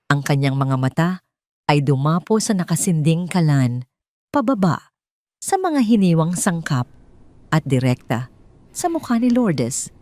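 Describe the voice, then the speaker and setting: A Filipino woman narrates a scripted audio drama story. Her voice sits low and has a soft, dark tone. She phrases each sentence in a measured, clear storytelling manner.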